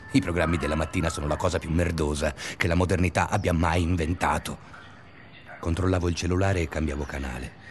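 An actor playing an obsessive, restless male schoolteacher in a confessional radio drama monologue, speaking deliberately in short phrases. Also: very deep voice. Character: obsessive, restless male schoolteacher narrating his sleepless days.

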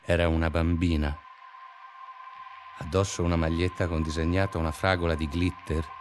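An actor playing a haunted professor in a radio drama, recounting in an intense, grave, slow monologue how he chased a girl. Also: low-pitched, level tone, soft background music, natural voice. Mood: tense, haunted.

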